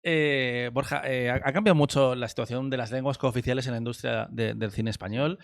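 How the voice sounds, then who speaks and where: A man's voice: medium pitch, steady tone, a little fast, gravelly, no room sound, studio quality. A man interviewing film people at a festival, asking long, winding questions with hesitations.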